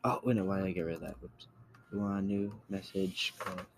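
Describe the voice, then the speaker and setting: A man giving a casual screen-recorded software tutorial, halting as he thinks aloud while he works. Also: deep voice, fairly even intonation, background noise. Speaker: tutorial creator.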